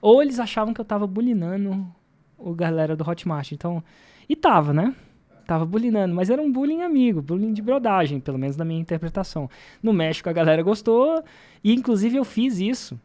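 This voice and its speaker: A man's voice: sits high, animated, a little fast, dark tone. Brazilian man chatting on a podcast. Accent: Brazilian Portuguese. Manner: informal, self-deprecating, repeats phrases for emphasis. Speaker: podcast host and marketing teacher. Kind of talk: casual podcast talk with anecdotes.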